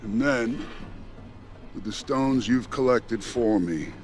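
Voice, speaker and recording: A man playing a calm, imperious warlord villain in a film, speaking gravely and deliberately with menace. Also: medium pitch, natural voice. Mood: grandiose resolve. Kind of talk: cinematic villain monologue. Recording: film dialogue under loud music.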